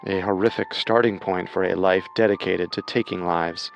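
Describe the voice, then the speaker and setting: True crime host: man, low creaky voice, measured and dramatic, faint music underneath. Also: steady tone, dull audio. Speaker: podcast host and narrator. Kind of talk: scripted true crime narration.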